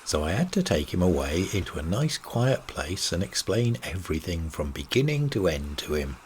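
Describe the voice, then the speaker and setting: A man's voice: low voice, slight background noise. A man narrating a bedtime audiobook, telling an old seafaring yarn in the first person. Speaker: story reader.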